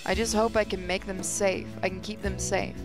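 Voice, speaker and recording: A woman's voice: medium pitch, flat delivery, moderate pace, over music. A woman reading visual novel narration aloud in a reflective, storytelling way. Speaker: gaming channel host, reader.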